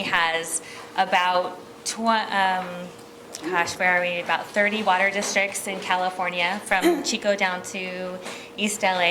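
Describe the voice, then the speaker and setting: An American woman giving an informal public presentation as a district manager, speaking in a halting, conversational way. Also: medium pitch. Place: meeting room, speaking at a podium. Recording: public meeting recording with background noise.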